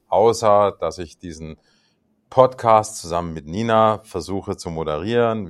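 A man in his sixties co-hosts a podcast with a fairly low voice. He teases and jokes with his guests, hesitating here and there with fillers. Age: 60s. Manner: joking, playful, hesitant with fillers. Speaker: podcast co-host. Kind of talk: casual interview chat.

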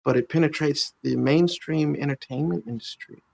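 Gravelly-voiced man in his thirties. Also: a little high, darkish tone, no room sound. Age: 30s. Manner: thoughtful, halting, with many restarts and filler phrases. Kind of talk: reflective conversation.

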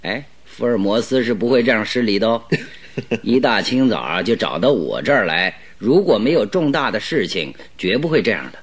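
An actor playing a calm, good-humoured detective in a Chinese radio drama, speaking politely and deliberately with a teasing streak. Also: male voice, lowish voice, husky. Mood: amused, explaining.

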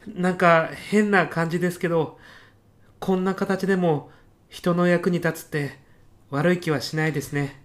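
Higher-voiced, hapless junior businessman played by a man. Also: steady tone, normal speed, lightly acted. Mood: polite, sheepish. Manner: hesitant and deferential, bursting into blunt outbursts. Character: meek, hapless junior businessman, exasperated detainee. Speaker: voice actor in a comedy radio drama. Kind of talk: short comedic radio drama.

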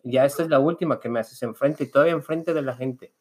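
A man's voice: medium pitch, fast, darkish tone, natural voice. A man improvising a fed-up, stubborn, nagging partner on a prank phone call, scolding and insisting. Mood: insistent. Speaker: podcast host making a prank call.

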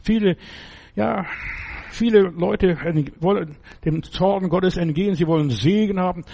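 Male pastor, older, gravelly and husky, fairly high voice, earnest exhorting sermon delivery. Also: dark tone. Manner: earnest, exhorting, repeats key phrases, slow emphatic stresses.

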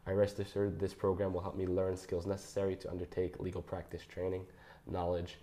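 A young man reading his personal statement aloud in a measured, careful way. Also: low-pitched, monotone, warm tone. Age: young adult. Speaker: guest.

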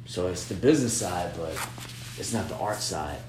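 Rambling low-voiced man in an echoey room. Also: lively intonation, normal speed, noisy background. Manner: rambling, repeats words, frequent "you know what I'm saying". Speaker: business-minded artist partner. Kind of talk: casual planning chat.

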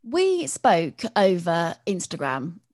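A woman's voice: fairly low pitch, wildly swooping pitch, takes it slowly, a little mellow, no echo, clean studio sound. A woman interviewing a guest, asking probing questions and listening attentively. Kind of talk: interview conversation.

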